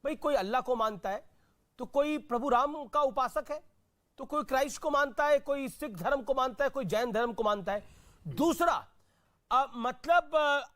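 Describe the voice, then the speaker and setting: A man's voice: extremely high pitch, fairly bright tone. A man anchoring a television debate, combative and sarcastic, repeating phrases as he rants. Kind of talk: heated TV debate.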